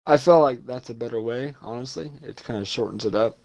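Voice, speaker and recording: A man's voice: medium pitch, fairly quick, vocal fry, a little mellow, low-quality recording. A young man from Ohio chatting casually on a podcast, rambling and swearing freely. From Ohio, USA. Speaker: podcast co-host. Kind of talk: casual chat.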